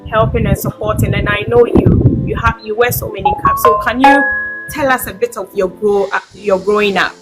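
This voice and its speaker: A woman's voice: medium pitch, steady tone, poor audio. Chatty woman host over music. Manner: eager, chatty, quick interjections. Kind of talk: interview questions. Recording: clear music bed behind voice.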